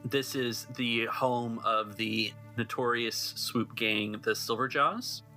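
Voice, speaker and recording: Bright-voiced man narrating a tabletop game. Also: mid-range voice, steady tone, takes it slowly, soft background music, poor audio. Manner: conversational, improvised scene-setting. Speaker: game master.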